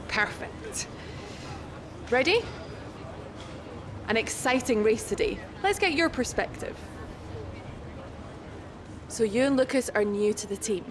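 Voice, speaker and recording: A businesslike woman reporting on motorsport in a game cutscene. She speaks briskly in a fairly high voice, steering the interview. There is clear background noise. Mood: brisk, professional. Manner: brisk, directing, professional.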